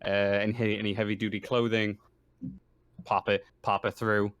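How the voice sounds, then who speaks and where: Casting: male game master, low and resonant, halting improvised narration with frequent rephrasing, dry sound. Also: little pitch movement, a bit bright, clear, resonant tone, no room sound. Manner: halting, often rephrasing himself.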